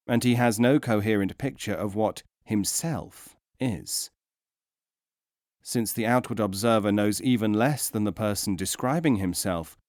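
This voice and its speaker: A man's voice: fairly low pitch, darkish tone, no echo. Dry, closely recorded male audiobook narrator. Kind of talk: scripted narration of philosophical teaching stories.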